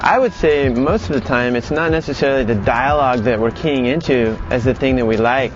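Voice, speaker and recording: A man's voice: medium pitch, a little fast, lo-fi sound, noisy background. A man giving a lesson as an instructor, explaining in a conversational way. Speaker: animation acting instructor.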